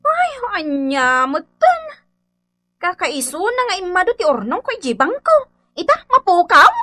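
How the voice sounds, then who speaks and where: An actress in a radio drama playing a money-minded wife who frets and scolds in exclamations. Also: female voice, very high-pitched, huge pitch swings, a little slow, bright, forceful tone, exaggerated voice. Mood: indignant. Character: sharp, money-minded wife fretting over savings.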